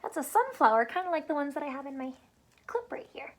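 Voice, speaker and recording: A woman teacher reading a picture book aloud to young children, pausing to explain simply and ask questions, drawing out words for emphasis. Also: sits high, big pitch swings.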